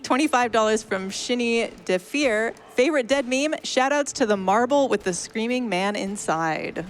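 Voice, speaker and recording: A woman's voice: on the high side, animated, normal speed. A young woman hosting, reading donation messages aloud in a lightly amused way.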